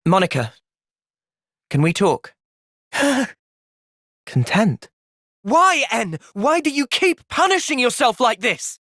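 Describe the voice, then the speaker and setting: A man voicing a thoughtful, loyal young hero in a video game, with an earnest, measured delivery. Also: extremely high pitch, sing-song, sharp tone, no room sound, studio quality, exaggerated voice. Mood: distressed. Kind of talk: video game cutscene voice acting.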